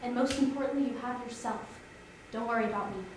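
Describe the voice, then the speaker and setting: A woman's voice: on the high side, fairly even intonation, fast talker, lightly acted. A woman playing a tender, earnest dying mother, a scientist in her forties, in a staged musical reading. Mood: tender, reassuring. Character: tender dying mother, a geneticist, aged forty-five. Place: theatre stage. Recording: very echoey theatre with background noise.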